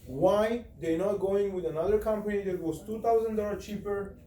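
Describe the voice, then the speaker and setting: A man's voice: sits high, moderate pace. A man in his forties with an Israeli accent, coaching a sales team in a small office, pacing and gesturing as he talks. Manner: animated, talks with his hands while pacing. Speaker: sales trainer. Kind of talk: sales training session. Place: small office room with whiteboard. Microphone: far camera. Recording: echoey room from far off, faint hiss.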